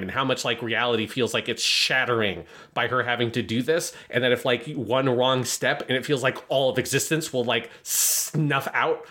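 Bright-voiced man rambling through a podcast review. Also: medium pitch. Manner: rambling, hesitant, frequently swearing. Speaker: podcast co-host. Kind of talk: casual in-depth review discussion.

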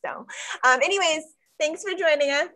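A woman's voice: high-pitched, moderate pace, pushed and bright. A woman co-hosting a casual podcast, joking sarcastically and getting defensive about how she talks. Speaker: podcast co-host. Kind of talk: casual drunk chat.

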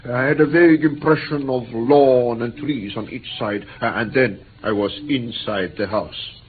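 An actor playing a formal, earnest and excitable male interpreter in an old British radio play. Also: lowish voice, close to an everyday voice. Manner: formal, earnest, flustered when alarmed. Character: courteous, excitable Greek male interpreter, easily indignant. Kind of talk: mid-20th-century British radio play acting. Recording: tinny, slightly noisy old radio recording.

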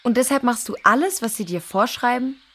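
A woman playing a kind, level-headed girl who reasons calmly and earnestly in a children's audio drama. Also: on the high side, a little fast. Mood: firm, advising.

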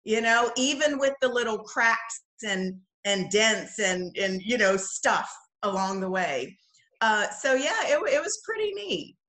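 A woman's voice: on the high side, sing-song, measured pace, bright, forceful tone. A woman from the Bahamas telling personal stories conversationally as a podcast guest. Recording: roomy, echoing sound.

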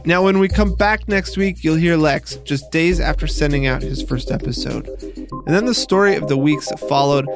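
Higher-pitched male podcast host over music. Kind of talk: interviewing and scripted documentary narration.

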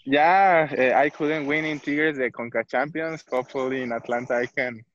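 A footballer from Mexico, speaking English with a Mexican Spanish accent, hesitant and searching for words. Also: male voice, medium pitch, moderate pace, fairly bright tone, lo-fi sound, a little hiss or hum. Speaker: football player. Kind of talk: interview.

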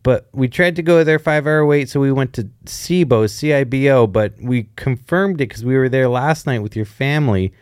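A man's voice: mid-range voice, normal speed, few pauses, smooth, no echo, studio quality. Rambling, jokey male podcast co-host. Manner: rambling, self-interrupting, jokey. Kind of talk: casual chat.

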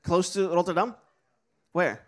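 A man's voice: high voice, fairly even intonation, unhurried, a bit bright. A young man giving an upbeat, encouraging talk and calling out to a live audience at a missions school. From Netherlands. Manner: upbeat, encouraging, calls out to the crowd.

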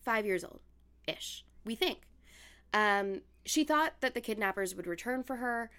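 A young woman with a raspy voice, co-hosting a casual chat podcast and telling a story, laughing as she talks. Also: animated, measured pace. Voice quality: deep raspy voice from yelling. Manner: chatty, laughs while talking. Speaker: podcast co-host.

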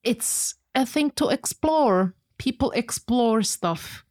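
A woman's voice: on the high side, animated, slow, a little mellow. A woman with a Swiss accent co-hosting a casual podcast, earnestly reassuring listeners and reading their answers aloud. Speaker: podcast co-host.

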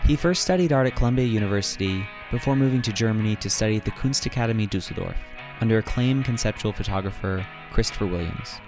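Low-voiced male podcast host. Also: fast talker, hardly any pauses, over music. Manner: scripted intro, then relaxed friendly questions. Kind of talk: interview podcast. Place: artist's studio.

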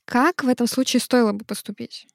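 A woman co-host with a fairly high voice, explaining things calmly. Her voice is recorded on a close mic in a dry room with no echo. The delivery is steady and explanatory. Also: a little fast, vocal fry. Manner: calm, explanatory. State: sick, sore throat. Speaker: podcast co-host. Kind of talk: explaining a topic, acting out examples.

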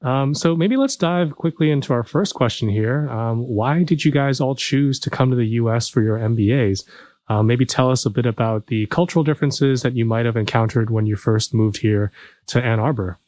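A man from Australia co-hosting a panel, asking questions in a relaxed, casual way. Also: medium pitch, normal speed, no room sound. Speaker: co-host. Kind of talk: panel discussion.

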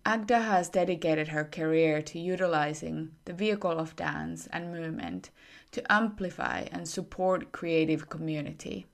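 A woman hosting a podcast, reading a guest introduction in a measured, formal way. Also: sits low, expressive, vocal fry. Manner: measured, formal read-out biography. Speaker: podcast host.

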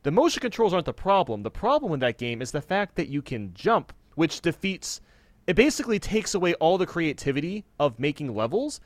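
A man's voice: fairly high pitch, big pitch swings, fairly quick, no room sound. A man in his twenties talking casually and giving his opinions in a podcast conversation. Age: 20s.

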